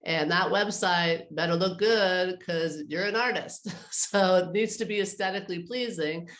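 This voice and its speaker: A woman with a slightly low voice talks as an interview guest in a clearly echoey room. She explains things conversationally, like an advisor giving practical guidance. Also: a little slow, fairly bright tone.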